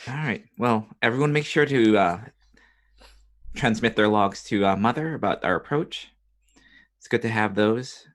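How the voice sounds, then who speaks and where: Low-voiced officious company rep played by a man. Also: normal speed, long pauses, lightly acted. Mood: stiff, officious. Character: officious corporate company representative aboard a spaceship.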